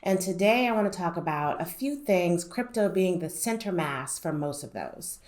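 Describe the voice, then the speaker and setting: A woman's voice: lowish voice, animated, moderate pace, a little mellow. A woman talking casually to her viewers about investing, her voice a little nasal from a lingering cold, with frequent "right?" and "um" asides. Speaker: finance YouTuber. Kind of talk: casual explainer talk to camera.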